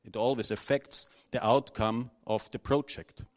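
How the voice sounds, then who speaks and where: A middle-aged man from Austria, speaking unscripted to an audience, halting and nervous, with many restarts and fillers. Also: mid-range voice, fairly even intonation. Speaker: conference speaker.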